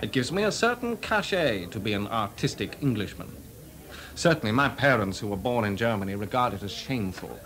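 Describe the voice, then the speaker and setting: A wry young composer at a party. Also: male voice, on the low side, very animated, fairly quick, fairly bright tone. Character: composer Delius, young man, wry and witty. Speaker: lead actor. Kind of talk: 1980s radio drama. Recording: party crowd behind.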